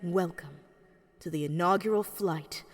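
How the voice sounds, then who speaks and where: A woman's voice: fairly low pitch, a little slow. An actress playing a brash, playful woman tech showing off her work in an audio drama. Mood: proud, showy.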